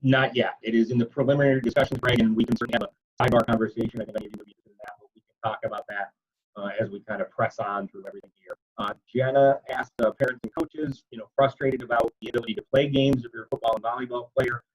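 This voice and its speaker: A man's voice: medium pitch, fairly even intonation, rapid-fire, husky. A man moderating a media conference call, casually and chattily relaying questions in loose wording, over lo-fi call audio. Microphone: video conference call.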